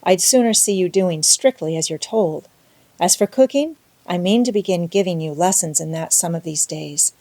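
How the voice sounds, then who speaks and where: A woman's voice: lowish voice, moderate pace, dark tone, no room sound. A woman voicing a stern, practical middle-aged farm woman in an audiobook, brusque and no-nonsense. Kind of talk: audiobook character voice.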